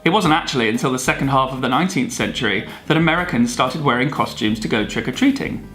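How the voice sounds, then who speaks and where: A man's voice: mid-range voice, animated, quick pace, pushed and bright, soft background music. A man co-presenting a scripted explainer with comic banter, in a jokey, theatrical manner. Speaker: video co-presenter.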